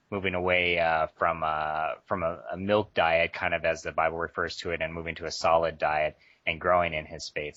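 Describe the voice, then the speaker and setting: Deep, bright-toned man on a dry call. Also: no echo, muffled recording. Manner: thoughtful, frequent "um"s, laughs easily. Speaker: podcast guest. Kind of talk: casual podcast discussion.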